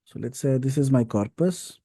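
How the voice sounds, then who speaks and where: A man's voice: medium pitch, moderate pace, dark tone, slightly rough. A man teaching an informal live coding class, explaining step by step and checking in with short tags like right and okay. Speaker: data science instructor.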